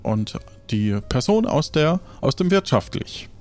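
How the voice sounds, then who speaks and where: A man narrating an improvised role-play as game master, describing scenes in a halting, casual way. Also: mid-range voice, big pitch swings, darkish tone, soft background music.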